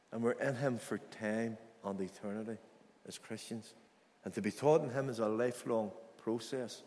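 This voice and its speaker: A man's voice: medium pitch, darkish tone. A man from Ireland, preaching a sermon in an earnest, storytelling way and repeating key phrases for emphasis. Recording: long hall reverb.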